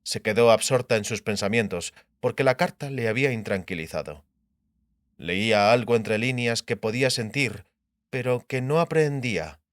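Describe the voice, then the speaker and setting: A man's voice: mid-range voice, moderate pace, no echo. Male audiobook narrator, very dry sound. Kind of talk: scripted fantasy narration.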